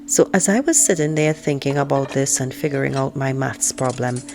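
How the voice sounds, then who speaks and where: A woman's voice: rumbling low, normal speed, creaky, darkish tone. A woman reading a storybook aloud as an outspoken, indignant teenage girl, in a frank, conversational and sarcastic manner. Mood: frank, musing. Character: outspoken, indignant teenage girl narrating her family life. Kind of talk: first-person audiobook read-aloud.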